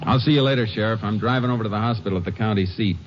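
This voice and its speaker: A calm Texas Ranger played by a male actor with a very low voice, terse and methodical. He speaks in the measured style of old radio crime drama. The sound is dull, like a vintage broadcast. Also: background noise, lightly acted. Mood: firm, probing. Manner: terse, matter-of-fact, measured questioning. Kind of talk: 1950s radio drama acting and narration.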